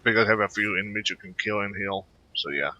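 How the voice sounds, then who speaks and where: A man giving casual gameplay commentary in a rambling, hesitant, halting way. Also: fairly low pitch, bright, forceful tone, muffled recording. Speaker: gamer narrating own gameplay.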